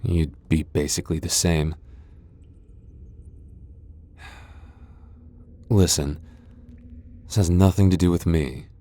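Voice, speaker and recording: A man's voice: sits low, fairly even intonation, moderate pace, dark tone, natural voice. A male voice actor playing a proud tsundere vampire lord in an ASMR boyfriend roleplay, haughty and defensive, stammering when flustered. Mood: reassuring, reluctant.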